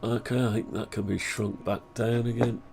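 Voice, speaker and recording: Groggy man with gravelly voice. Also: fairly low pitch, little pitch movement, moderate pace, background noise. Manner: rambling, thinking aloud, frequent throat clearing. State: groggy, recovering from flu. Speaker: hobbyist maker showing his own software. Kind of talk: casual screen-share project update.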